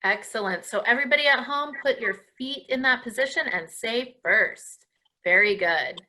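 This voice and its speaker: A woman hosts a live movement class for an audience at home. Her voice sits fairly high and sounds very bright and forward. She instructs in an encouraging, praising manner. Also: normal speed, rough recording. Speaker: dance class host leading children's stretches.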